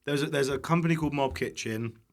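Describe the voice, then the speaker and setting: A man's voice: mid-range voice, fast talker. Chatty young British man, rambling. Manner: rambling, self-interrupting, acts out quotes. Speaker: podcast guest, online cook. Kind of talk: casual anecdotal chat.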